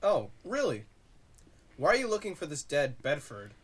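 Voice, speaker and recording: A man's voice: on the high side, huge pitch swings, normal speed, slightly rough, full character voice. A man playing a guarded, secretive character in an audio drama, warning of a conspiracy in a hesitant, grave manner.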